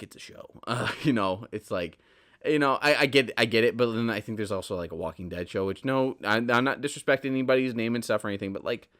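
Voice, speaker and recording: A man hosting a solo podcast in casual, rambling talk, often correcting himself and drifting into asides. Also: mid-range voice. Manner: self-correcting, digressive, conversational. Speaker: podcast host.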